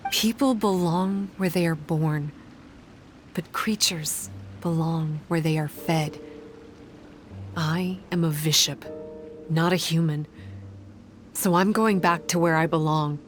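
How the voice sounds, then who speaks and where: Slow, solemn serpent-girl outcast. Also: on the low side, fairly even intonation, faint music behind. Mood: resigned. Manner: halting, solemn, with weighty pauses. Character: young female half-serpent outcast, solemn, wistful and guarded. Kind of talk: dramatic video game acting.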